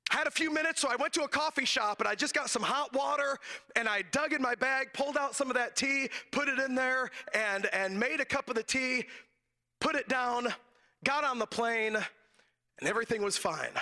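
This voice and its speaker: A raspy, hoarse man preaches from the pulpit, his voice pitched high and bright. He spins a folksy personal story and breaks into laughter again and again. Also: moderate pace. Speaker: guest preacher. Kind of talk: sermon with humorous personal story. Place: church sanctuary, from the pulpit. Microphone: handheld microphone.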